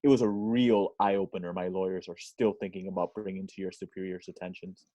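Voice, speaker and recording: A man's voice: deep voice, fairly even intonation, brisk, warm tone, no echo. Bossy movie producer, played by a man, dry-sounding. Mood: sarcastic, resentful. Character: irritable, bossy male Hollywood film producer. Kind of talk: amateur table reading.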